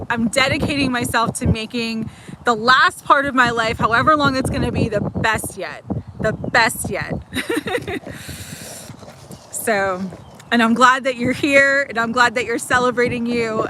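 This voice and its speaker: A woman's voice: fairly high pitch, noisy background. Chatty woman in her forties doing a card reading. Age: 40s. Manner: warm, chatty, giggly, affirming. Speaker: oracle card reader. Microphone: livestream mic.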